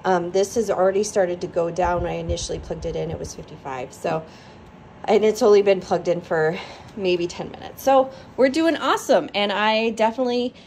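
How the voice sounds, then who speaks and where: A woman's voice: fairly low pitch, fairly quick, vocal fry, darkish tone, slight background noise. Chatty woman reviewing a gadget. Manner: chatty, upbeat, frequent ums. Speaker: product reviewer. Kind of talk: casual product review. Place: living room, indoors. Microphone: phone held over the device.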